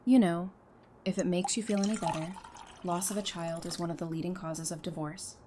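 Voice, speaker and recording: A woman's voice: on the low side, steady tone, brisk, close to an everyday voice. Actress as supportive female friend, casual, teasing, familiar; audio drama, faint noise behind. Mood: gentle, concerned. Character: caring, teasing woman, supportive wife and friend.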